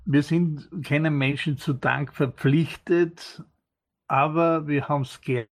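Man, radio show guest, unhurried, dry remarks, a bit high-pitched. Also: fairly even intonation. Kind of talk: casual live broadcast chat.

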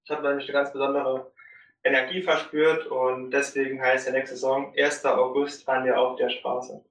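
A man's voice: mid-range voice, flat delivery, normal speed, a bit bright, slight background noise. A man, a singer, introducing and singing a parody song at the close of a gathering. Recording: roomy, from far off.

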